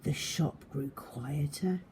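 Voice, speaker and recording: A woman's voice: low-pitched, slow, dark tone, a little hiss or hum. A woman reading a children's story aloud, addressing young listeners simply and directly like a primary teacher.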